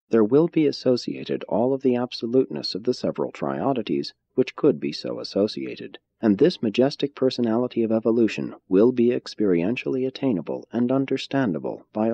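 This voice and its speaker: A man narrating a religious audiobook in a measured, solemn way with deliberate phrasing. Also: on the low side, gravelly, warm tone. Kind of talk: scripted narration, religious text reading. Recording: dull, muffled audio.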